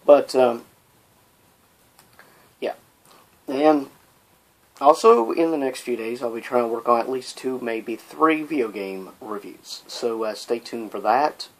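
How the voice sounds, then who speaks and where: A man's voice: medium pitch, takes it slowly, pauses often, low-quality recording. A man hosting a casual, opinionated vlog, talking informally with rambling asides and exasperated rhetorical questions. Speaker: video vlogger, host. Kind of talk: casual, opinionated top-list vlog commentary.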